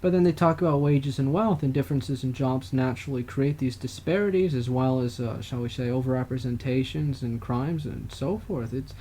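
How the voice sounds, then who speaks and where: Podcast co-host, male, mid-pitched, rambling and hesitant, faint noise under him. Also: moderate pace, hardly any pauses, mellow. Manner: rambling, hesitant, frequent "uh" and restarts. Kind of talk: casual political chat.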